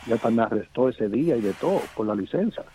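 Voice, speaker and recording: A man calling in, telling a personal story in an animated way. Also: medium pitch, fast talker, a little hiss or hum. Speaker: caller.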